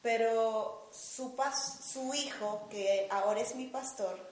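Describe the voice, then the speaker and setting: A young Peruvian woman in her twenties giving a personal testimony in short phrases, pausing for an interpreter, with a Peruvian Spanish accent. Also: a little high, a little mellow. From Peru, Lima. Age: 20s. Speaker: new mission school student. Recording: echoey hall.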